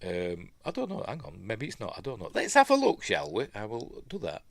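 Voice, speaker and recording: Lively-voiced Yorkshire man, sniffly. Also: fairly low pitch, quick pace, vocal fry, no echo. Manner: chatty, self-interrupting asides and mumbled afterthoughts. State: sniffly, stuffy nose. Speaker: host of a news live stream. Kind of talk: rambling live-stream commentary.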